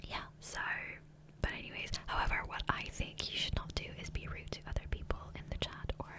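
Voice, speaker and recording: Softly whispering woman, ASMR style. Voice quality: soft unvoiced whisper. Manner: gentle, unhurried, rambling. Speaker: ASMR gaming creator. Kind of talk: ASMR whispered casual rambling. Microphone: close USB condenser microphone.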